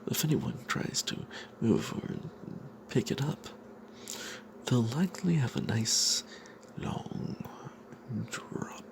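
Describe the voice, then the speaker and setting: A man narrates a fantasy adventure in a breathy ASMR whisper. His delivery is slow and halting, with a creaky edge and a pitch that moves about freely. Noticeable noise sits behind the voice. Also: animated. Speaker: narrator of a fantasy tabletop tale.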